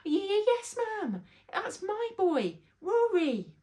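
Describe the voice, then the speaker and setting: Woman as a flustered, high-pitched little boy bee. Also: sing-song, very slow, warm tone, exaggerated voice. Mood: flustered, eager. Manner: stammering, deferential. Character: eager, flustered, deferential little male bee.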